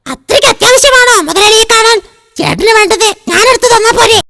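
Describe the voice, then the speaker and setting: A performer voicing an eager young boy hawking goods in a cartoon, with a very high voice. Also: a little fast, bright, forceful tone, dry recording, clean studio sound, heavily acted. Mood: businesslike. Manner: chanting repetitive sales cries. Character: eager young boy street hawker, shrill and pleading. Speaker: shop assistant calling out a clothing sale. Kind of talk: cartoon voice acting.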